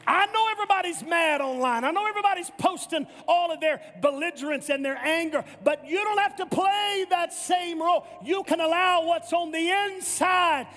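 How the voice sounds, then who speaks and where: A man's voice: very high voice, moderate pace, few pauses, fairly bright tone. A man preaching a sermon as pastor, emphatic and repetitive, often restarting his phrases. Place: church sanctuary. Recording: echoey hall with faint music.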